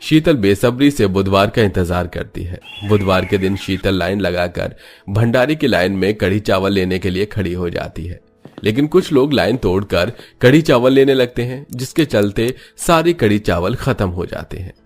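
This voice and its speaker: A man narrates a scripted story in a fairly low voice. Light music plays underneath the narration. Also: animated, moderate pace.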